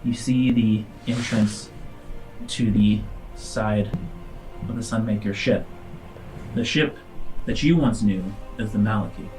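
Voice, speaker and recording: A man improvising narration as game master, descriptive and halting, in short broken phrases. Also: on the low side, a little mellow, faint music behind, low-quality recording.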